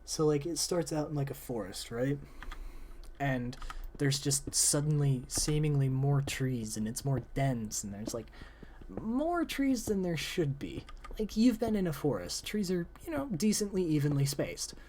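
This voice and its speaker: A man's voice: fairly high pitch, very animated, a little slow, a little mellow, slight background noise. A young man chatting casually about story ideas with a friend while gaming, rambling with many fillers, his voice cracking and wearing out. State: losing voice, voice cracking.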